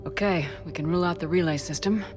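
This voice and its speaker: A woman playing a resolute military space commander in a video game, brisk, businesslike and commanding. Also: on the low side, music in the background, lightly acted. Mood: focused, businesslike.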